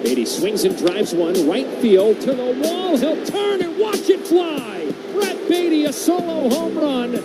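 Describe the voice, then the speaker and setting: A man working as a baseball play-by-play announcer, giving excited home-run call commentary with music and a crowd behind him. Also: measured pace, hardly any pauses. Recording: highlight clip under loud music, crowd. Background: music and roaring crowd.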